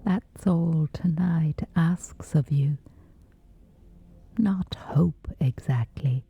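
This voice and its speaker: Woman doing sleep meditation, super slow breathy whisper, low and creaky, kinda muffled sound. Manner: gentle, soothing, unhurried. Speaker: meditation guide.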